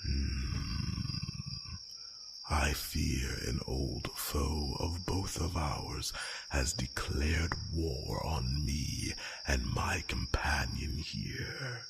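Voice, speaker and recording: A man voicing an ancient, courteous, proud dragon in a fantasy ASMR roleplay, speaking formally in a gravelly, breathy near-whisper with rumbling hums. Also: exaggerated voice. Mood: courteous, solemn. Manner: slow, formal, drawn-out phrasing with rumbling hums. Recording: noisy track with loud nature ambience.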